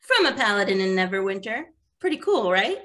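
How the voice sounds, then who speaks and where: A woman voicing a confident, practical paladin in her twenties for an animated fantasy table read. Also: mid-range voice, fairly quick. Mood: upbeat. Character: confident, practical twenty-four-year-old female water genasi paladin.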